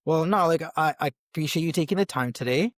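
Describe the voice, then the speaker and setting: A man's voice: fairly high pitch, fast talker, no echo, clean studio sound. A man hosting a podcast interview, asking questions in an easygoing way, with lots of fillers. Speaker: podcast host. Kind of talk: casual interview talk.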